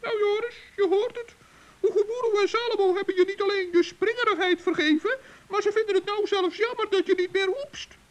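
A performer playing a kindly, earnest little male gnome with a squeaky, creaky voice in a children's radio play. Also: a little fast. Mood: earnest, concerned. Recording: dull old radio recording.

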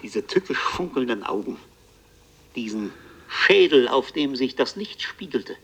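A haunted bookseller from a radio play, telling his strange ordeal as a first-person narrator. His delivery is literary and deliberate, with a dramatic intensity at a middling male pitch. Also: big pitch swings, a little hiss or hum, low-quality recording. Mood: haunted, agitated.

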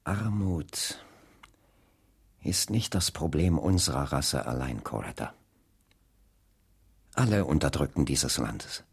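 A man's voice: rumbling low, expressive, takes it slowly, vocal fry, pauses often, slightly rough, full character voice. An actor playing an earnest, resolute male civil rights leader and preacher in a German radio drama, with weighty, emphatic oratory. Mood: earnest, visionary. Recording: reverberant hall sound.

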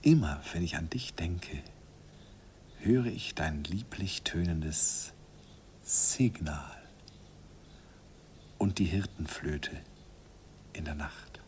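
Wistful older guy looking back, really low and slow, old radio play, faint noise. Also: a little mellow. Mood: tender longing. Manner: sentimental, slow, deliberate, tender. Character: wistful older man narrating a remembered youthful love. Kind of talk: 1950s German radio drama narration.

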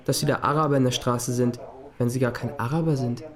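A man's voice: mid-range voice, fairly even intonation, a little fast, lightly acted. A young male actor playing a cheeky, curious Parisian boy in a German radio play.

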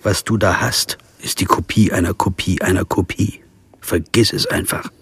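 Low-voiced, dry male lawyer narrator. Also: fairly even intonation, normal speed, a bit bright, slight background noise. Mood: annoyed. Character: wry, pragmatic lawyer narrating his own crime story. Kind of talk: scripted first-person narration and radio drama dialogue.

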